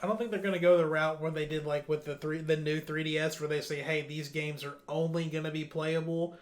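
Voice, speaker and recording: A man's voice: on the high side, fairly even intonation, brisk. A man hosting a casual gaming news discussion, talking bluntly and informally, with some loose swearing. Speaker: gaming podcast host.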